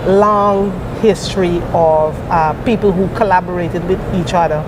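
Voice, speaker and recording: A woman from Guyana, a PhD student, recounting her path into her field in an oral history interview with careful, measured storytelling. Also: lowish voice, steady tone, noisy background. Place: outdoors at a conference venue.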